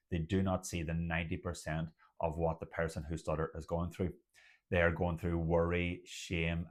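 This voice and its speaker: A young man, a doctor who stutters, talking to camera about his own stuttering in a measured, deliberate way with occasional stutters. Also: sits low. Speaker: doctor and community host. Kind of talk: personal talk to camera.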